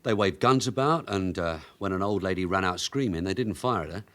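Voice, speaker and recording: An actor playing a shrewd veteran detective sergeant with an English accent, brisk and wry, in a radio drama. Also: male voice, deep voice, fairly bright tone. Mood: businesslike. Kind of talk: British radio drama acting.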